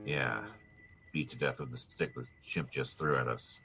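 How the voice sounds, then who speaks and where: An actor playing a tough, wry private detective with clipped, wisecracking delivery, in 1940s radio noir style. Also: male voice, rumbling low, fairly even intonation, normal speed, pushed and bright, soft background music, heavily acted. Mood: grim, musing.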